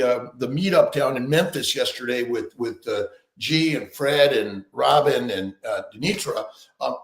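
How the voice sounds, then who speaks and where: A man's voice: fairly high pitch, reverberant. A man hosting a casual live-stream chat, rambling and upbeat, with many restarts. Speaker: host.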